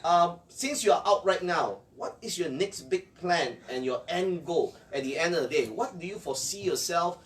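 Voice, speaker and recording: A man's voice: fairly high pitch, expressive, moderate pace, few pauses. A man hosting a conversational interview and putting questions to a guest. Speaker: interviewer, show host. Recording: distant mic with faint background noise.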